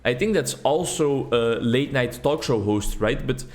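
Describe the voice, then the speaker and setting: A man's voice: mid-range voice, lively intonation, unhurried, darkish tone. A man who is a native Dutch speaker hosting a gaming video in English, with casual, rambling, playful commentary and dry humor.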